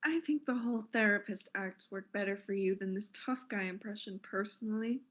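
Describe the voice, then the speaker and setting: An actress playing a vain, self-important fashion mogul in a comic audio drama, arch and condescending in manner. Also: female voice, medium pitch, fairly quick, a bit bright, close to an everyday voice. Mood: smug, dismissive.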